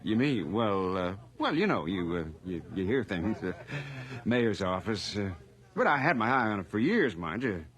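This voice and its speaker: An actor playing a genial, evasive small-town police chief in a radio drama, with a hearty, folksy manner. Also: male voice, lowish voice, big pitch swings, normal speed, a bit bright.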